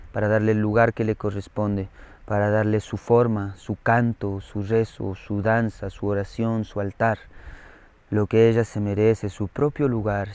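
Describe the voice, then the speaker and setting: A Colombian man speaks in a low, level voice, building his phrases in rhythmic, chant-like repetitions. His tone stays smooth and clear, recorded close and dry with no room sound. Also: dark tone, no echo. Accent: Colombian Spanish. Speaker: traditional medicine speaker. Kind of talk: slow ceremonial spoken reflection.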